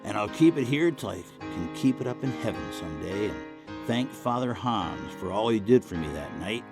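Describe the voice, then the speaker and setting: Elderly American veteran, reminiscing. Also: male voice, on the low side, lively intonation, normal speed. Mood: fond, wistful. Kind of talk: narrated reminiscence in audio drama. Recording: clear guitar music under the voice.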